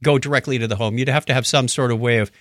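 A man hosting a podcast in casual chat, telling stories in an animated way and acting out what others said. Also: medium pitch, very fast, dry recording, clean studio sound. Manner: animated storytelling, acts out quotes. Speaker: podcast host.

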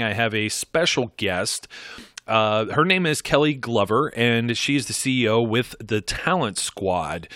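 A man in his thirties hosts a podcast, talking loosely and circling back on himself. His voice is bright and forward, and sits at an ordinary pitch. The recording is dry and close. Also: dry recording. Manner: rambling, many fillers and restarts. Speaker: podcast host. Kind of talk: conversational interview.

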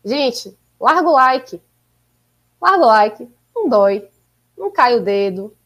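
Upbeat female stream co-host, slightly high voice, chatty delivery. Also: very animated, measured pace. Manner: chatty, upbeat, urging viewers to like and subscribe. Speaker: podcast co-host. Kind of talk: casual live-stream chat.

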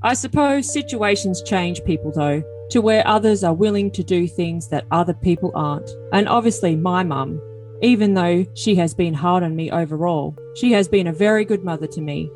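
A woman's voice: lowish voice, darkish tone, close to an everyday voice. A woman voicing an earnest, defensive, lovesick young man in a dramatic reading of text messages. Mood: reflective. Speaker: podcast cast reader.

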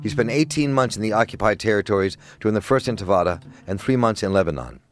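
A man hosting a radio interview series, asking measured, slightly halting questions. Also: lowish voice, lively intonation, soft background music. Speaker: interviewer and host.

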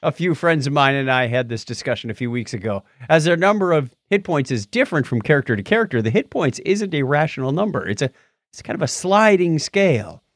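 Man, lively varied intonation, playful podcast co-host, casual storytelling, close dry sound. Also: on the high side, a little fast, no echo. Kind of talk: casual chat and reading out listener comments.

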